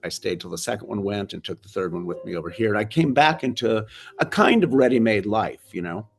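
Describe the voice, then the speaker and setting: An older American man with a gravelly, fairly low voice, chatting casually as a guest. He wanders through his thoughts and restarts his sentences. He is heard over a video call. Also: brisk. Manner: rambling, restarts sentences often. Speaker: film director guest. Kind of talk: casual interview chat.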